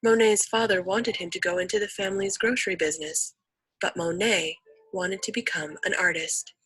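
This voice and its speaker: A woman's voice: mid-range voice, normal speed, fairly bright tone, slightly rough, no echo, faint music behind, poor audio. A woman reading a scripted educational narration as the narrator of an instructional video.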